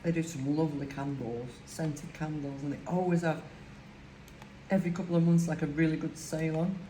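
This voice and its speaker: A woman's voice: low voice, fairly even intonation, fairly quick, warm tone. An older woman from Lancashire with a broad Lancashire accent, chatting casually at home while she crafts, rambling with many fillers. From Lancashire, England. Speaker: crafting vlogger. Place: home, indoors. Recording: echoey room with faint hiss.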